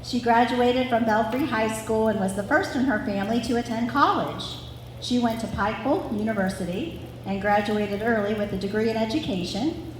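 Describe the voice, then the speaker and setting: A woman's voice: a little high, moderate pace. Woman reading aloud in a very echoey hall. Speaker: daughter reading a family story to relatives. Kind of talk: scripted storytelling read aloud to a live audience. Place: large reverberant room at a family gathering. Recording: very echoey hall with clear background noise.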